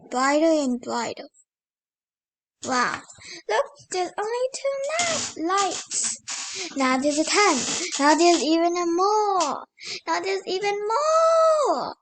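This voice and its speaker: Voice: high voice, fairly bright tone, a little hiss or hum, poor audio. A child hosting a read-aloud, reading a picture book and breaking off to exclaim over the pictures with wonder.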